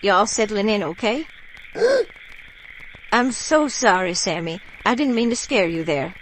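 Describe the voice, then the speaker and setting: A woman plays a reassuring country neighbor in a radio drama. She has a Louisiana bayou accent and a mid-pitched voice, with faint swamp ambience behind her. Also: sing-song, slow, slight background noise, low-quality recording. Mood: friendly, folksy. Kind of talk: audio drama acting.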